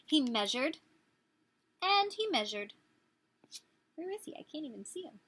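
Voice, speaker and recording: A woman's voice: high voice, big pitch swings, measured pace, fairly bright tone, no echo. A woman in her thirties reading a picture book aloud as a teacher, expressively, putting questions to small children. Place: small living room, indoors.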